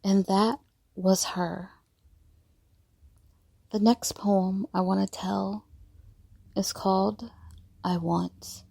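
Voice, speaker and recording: A woman's voice: medium pitch, steady tone, extremely slow, vocal fry, pauses often, dark tone, breathy edge, no room sound. A woman hosting her own poetry podcast, speaking in an intimate, personal way. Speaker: podcast host and poet. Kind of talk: intimate spoken-word poetry reading and casual podcast chat.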